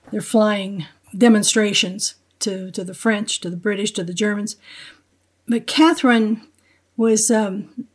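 A woman's voice: mid-range voice, a little mellow. An older woman with an American accent, a historian reflecting aloud as an interview guest.

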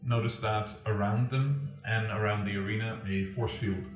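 A man narrating a tabletop game as game master, pausing often with ums and uhs. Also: on the low side, steady tone, faint music behind. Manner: halting, many ums and uhs. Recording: echoey room, far from the mic.

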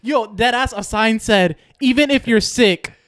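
A man's voice: extremely high pitch, a little slow, fairly bright tone, clear, resonant tone, dry recording, studio quality. A young man co-hosting a casual podcast, talking bluntly with plenty of slang and swearing. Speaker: podcast co-host. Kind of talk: casual chat.

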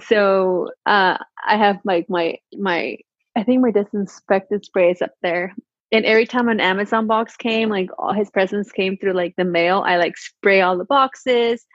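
A woman in her thirties chatting casually and telling stories in an animated way, quoting exchanges as she goes. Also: mid-range voice, normal speed, dry recording. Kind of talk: casual chat. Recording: slightly muffled, lo-fi audio.

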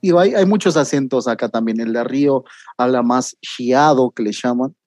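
A man's voice: medium pitch, moderate pace, darkish tone, dry recording, studio quality. A man from Argentina with an Argentine accent, chatting casually as a guest, with many fillers. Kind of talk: casual conversation.

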